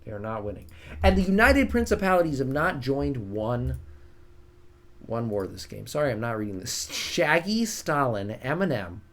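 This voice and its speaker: A man's voice: mid-range voice, sing-song, normal speed. A man with a soothing voice streaming a strategy game, casually chatting with his viewers and joking at his own expense. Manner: chatty, self-mocking, reads and answers chat.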